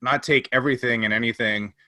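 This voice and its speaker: Man, podcast co-host, forceful bright tone, earnest, explanatory, casual, dry room. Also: medium pitch, steady tone, fast talker, dry recording. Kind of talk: casual discussion.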